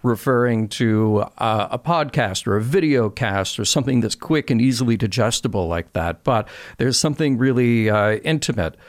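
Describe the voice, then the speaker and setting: A man's voice: medium pitch, lively intonation, moderate pace, few pauses, no room sound, clean studio sound. A man talking thoughtfully and articulately as a guest in a casual podcast discussion.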